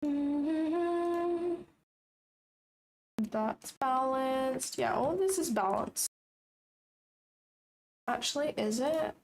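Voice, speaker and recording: A young woman with a raspy voice, chatting casually and thinking aloud as she hosts a live trading stream. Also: sits high, very slow, pauses often, darkish tone, slight background noise, poor audio. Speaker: trading livestream host.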